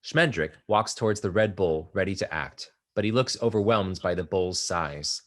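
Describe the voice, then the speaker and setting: A man reading stage directions aloud in a play table read, measured and even. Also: low-pitched. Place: live reading at an art exhibition.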